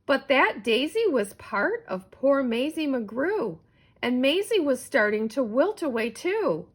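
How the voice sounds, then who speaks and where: A middle-aged woman reads a children's rhyming story aloud. Her delivery is expressive, with wide swings of intonation. She takes an unhurried pace that lets each line land. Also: sits high, husky. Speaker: storybook reader.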